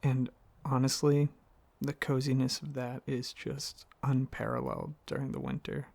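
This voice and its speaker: A man playing a gravelly, affectionate dragon boyfriend. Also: mid-range voice, flat delivery, a little mellow. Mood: wistful. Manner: casual, halting, affectionate, chuckling speech. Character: affectionate, excitable dragon boyfriend with fluff and horns. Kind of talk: ASMR roleplay, softly addressing the listener as partner.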